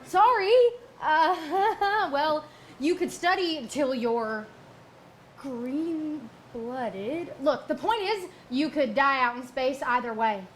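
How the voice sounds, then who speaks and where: Crabby, plain-spoken space medic, high register, female actor, comic stage parody, slight background noise. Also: expressive, slow pace. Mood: folksy, cranky. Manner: blunt, exasperated. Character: blunt, folksy, cranky starship doctor.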